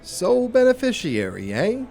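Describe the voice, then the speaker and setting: A man playing a hardboiled private investigator in a noir audio drama, terse and deadpan, with music behind him. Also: medium pitch, normal speed, close to an everyday voice. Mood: wry. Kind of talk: noir radio drama with first-person narration.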